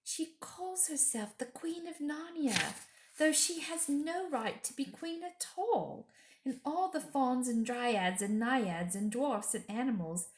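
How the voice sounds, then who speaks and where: A woman's voice: high voice, pitched above the performer's own voice, heavily acted. A woman voicing an earnest, trusting young girl in a storybook, full of eager wonder. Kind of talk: storybook character reading. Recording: echoey room.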